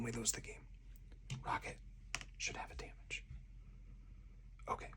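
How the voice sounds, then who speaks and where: Hushed whispering ASMR game host. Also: medium pitch. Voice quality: soft breathy whisper. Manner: hushed, narrating each move aloud step by step. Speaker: host of a solo card game channel.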